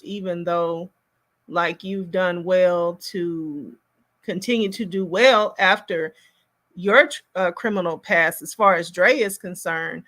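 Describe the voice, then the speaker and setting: Female reaction host, casual and unhurried, halting delivery as she works through her opinion. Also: mid-range voice, fairly bright tone. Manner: halting, musing, thinks aloud.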